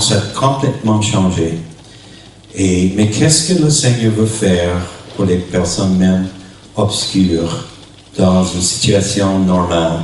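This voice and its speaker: A man from New Brunswick, a native English speaker with an English-speaking Canadian accent, preaches as a visiting pastor in a halting way, in short phrases, searching for words. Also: low voice. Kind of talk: sermon. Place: church hall during a worship service. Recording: echoey hall, distant mic, faint noise.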